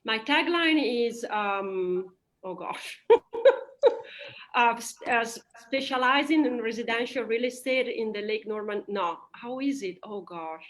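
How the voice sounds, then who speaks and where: A woman whose first language is Italian, a real estate agent answering interview questions in a chatty way, with fillers and quick laughs. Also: a little high, expressive, fairly bright tone, poor audio.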